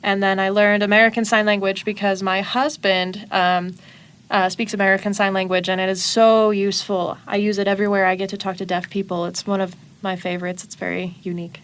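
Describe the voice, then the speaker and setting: A woman's voice: medium pitch, little pitch movement, fairly quick, fairly bright tone, clear, resonant tone. A woman in her twenties, a guest on an interview podcast, telling about her family and life in an earnest, matter-of-fact way. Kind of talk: casual interview conversation.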